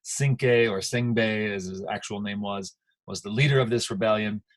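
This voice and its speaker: A man's voice: on the low side, fairly even intonation, normal speed. An American man giving an informal history lecture as a teacher, conversational and emphatic, checking in with his listeners.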